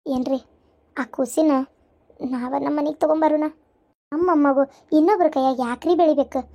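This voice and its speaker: A woman voicing a soft-hearted, hesitant grandmother who coaxes her husband in a cartoon family drama, with a North Karnataka accent. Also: sits high, normal speed, lo-fi sound, pitched below the performer's own voice. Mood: coaxing, earnest. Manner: coaxing, hesitant.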